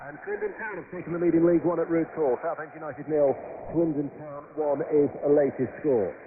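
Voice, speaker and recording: A man giving live radio football commentary, calling the play and reading out scores from other grounds, lifting with excitement on goals. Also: on the high side, a crowd in the background. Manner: brisk, rising excitement on goals. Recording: tinny shortwave radio with static.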